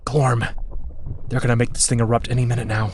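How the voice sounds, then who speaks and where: An actor playing an insecure, earnest young team captain in an audio drama, speaking with a pressured sincerity. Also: male voice, mid-range voice, flat delivery, a little fast, a little hiss or hum, lightly acted. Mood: determined.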